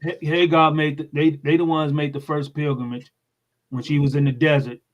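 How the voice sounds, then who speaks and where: A man's voice: fairly high pitch, little pitch movement, moderate pace, lo-fi sound. An American man speaking as a guest in an interview, explaining things in a plain-spoken, informal way. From United States.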